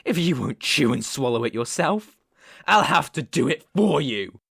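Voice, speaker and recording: A man's voice: fairly high pitch, big pitch swings, moderate pace, gravelly, a bit bright, slightly rough, dry recording, heavily acted. A British man playing an obsessive, possessive young kidnapper in an intimate ASMR roleplay, coaxing and menacing in a condescending way, close on the microphone. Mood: angry, controlled.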